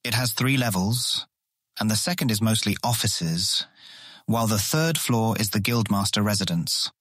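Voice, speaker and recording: An actor playing an earnest, awkward and easily charmed guild guard in a fantasy audio drama, eager to please. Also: male voice, fairly low pitch, animated, moderate pace, a bit bright, no echo, studio quality. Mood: explanatory.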